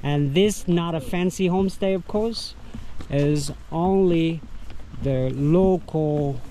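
Give me a Vietnamese-accented man, voice a bit high, talking haltingly to an action camera on a mountain trail, with a faint outdoor hum behind him. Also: warm tone. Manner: halting, searching for words with many pauses. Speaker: tour guide and travel vlogger. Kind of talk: casual travel vlog while trekking. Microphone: action camera carried along.

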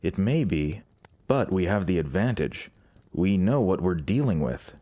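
A man's voice: sits low, sing-song, a little slow, dark tone, full character voice. A man playing a composed, agreeable and confident prince plotting with others in an audio drama.

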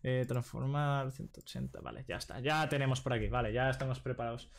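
A man's voice: medium pitch, steady tone, fast, creaky, a little hiss or hum. A young man from Spain, chatting casually on a live stream as he plays a card game, thinking aloud and dropping "vale" into nearly every sentence, recorded in a small room at home. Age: young adult. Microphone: desk microphone with headset.

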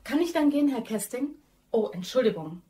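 High, lively, chatty young woman. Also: fairly quick, husky. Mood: apologetic. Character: chatty, curious young woman keen on horoscopes. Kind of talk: German crime radio drama acting.

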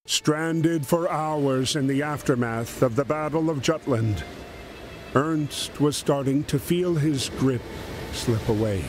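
Game narrator, grave wartime storyteller, unhurried and measured, music underneath. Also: male voice, fairly high pitch. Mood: solemn, measured. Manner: measured, solemn. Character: solemn male storyteller of a wartime tale. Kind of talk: scripted storytelling narration of a war history game. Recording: music behind the voice.

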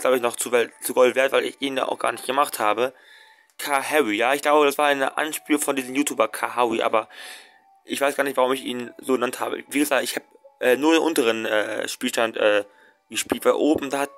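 A young man rambles through casual let's play commentary, stacking fillers and restarting his sentences as he goes. His voice sits at an ordinary middle pitch. Soft game music plays quietly under his voice.

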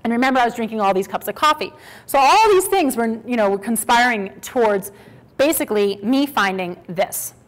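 A woman in her thirties from Minnesota, giving a lively, conversational stage talk to an audience. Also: on the high side, fairly quick, poor audio. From Minnesota, USA. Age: 30s. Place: small stage with brick wall backdrop.

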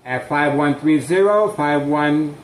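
Male vlogger, slightly high voice, halting and suspicious delivery, echoey phone audio. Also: slight background noise. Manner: halting, many fillers, intense and suspicious. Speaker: vlogger filming his street. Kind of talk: slow narrated street surveillance vlog.

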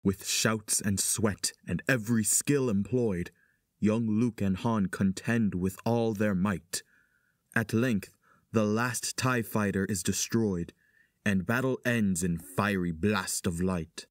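An actor playing a solemn stage chorus, narrating a battle in dramatic verse for an audio drama. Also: male voice, fairly low pitch, slow pace, no room sound, studio quality. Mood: solemn, stirring.

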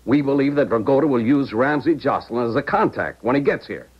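Brusque agent on dull old radio. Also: male voice, medium pitch, expressive, a little fast, slightly rough. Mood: impatient. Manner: blunt, pressing. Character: brusque, impatient, worried intelligence agent. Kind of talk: 1970s radio drama acting. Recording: dull 1970s radio recording.

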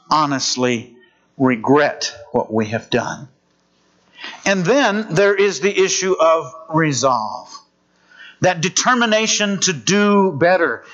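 Male preacher, fairly high voice, earnest and measured, dull lo-fi church recording. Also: fairly bright tone. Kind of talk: sermon.

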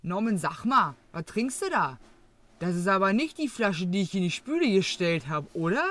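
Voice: mid-range voice, big pitch swings, normal speed, a bit bright. A performer playing a coarse, jaded working-class mother, grumbling bluntly in a parody audio drama. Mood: wary, blunt.

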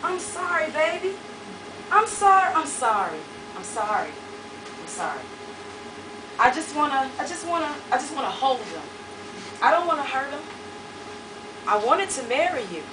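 A woman's voice: sits high, moderate pace, pauses often, noisy background, lightly acted. A woman doing a volatile, insistent man. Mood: apologetic. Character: volatile man, swinging from bluster to pleading. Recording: distant mic, roomy live venue.

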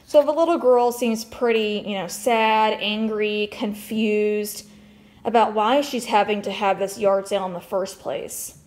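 A woman's voice: medium pitch, fairly even intonation, measured pace, a little mellow. A woman reading a storybook aloud expressively as a teacher, with explanatory asides and questions for children.

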